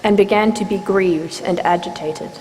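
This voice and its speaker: A woman reads scripture as narrator in a formal, measured way, at a medium pitch. Her voice carries through a church with long reverb and faint background noise. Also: darkish tone.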